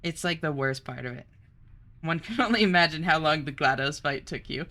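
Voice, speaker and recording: A young woman streamer chatting casually, reading chat aloud, bubbly and quick to laugh. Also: low voice, bright, forceful tone.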